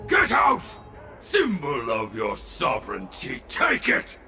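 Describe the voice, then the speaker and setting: A man's voice: mid-range voice, wildly swooping pitch, takes it slowly, exaggerated voice. A man voicing a crazed, contemptuous warrior in a video game, bellowing theatrical archaic taunts. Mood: commanding fury. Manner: bellowing, declamatory, archaic phrasing. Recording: echoing, with loud music behind.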